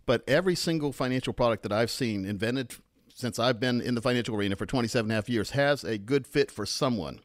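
An American man in middle age talks at a rapid clip as a radio host and financial coach. His delivery is jovial, folksy and unscripted, full of banter. The sound is close and dry, with hardly any room around it. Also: medium pitch, dry recording. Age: middle-aged.

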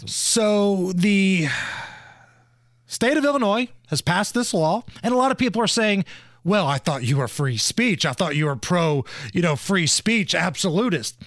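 Man, talk radio host, high voice, lively swooping pitch, emphatic, dry studio sound. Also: a little slow, no room sound. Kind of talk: opinionated talk radio commentary.

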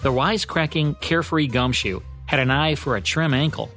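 A man's voice: a little high, normal speed, fairly bright tone. A man hosting a radio program, reading scripted narration to introduce a classic show. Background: faint music.

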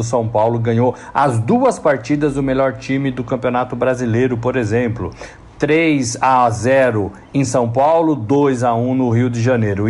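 A man's voice: mid-range voice, a little mellow. A man giving a sports commentary on a news radio show, stressing his points and repeating key figures. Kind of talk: opinion commentary.

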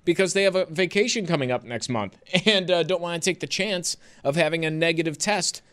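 A man co-hosting a radio talk show with casual, joking banter. His voice sits a little high for a man. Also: a bit bright, smooth. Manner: joking, quick, laughs between lines. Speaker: radio host.

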